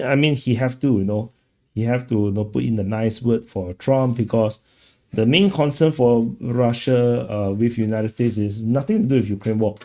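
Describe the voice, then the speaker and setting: A man's voice: mid-range voice, gravelly, mellow. A man hosting an off-the-cuff political commentary, rambling casually and often repeating words as he goes. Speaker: commentator, show host.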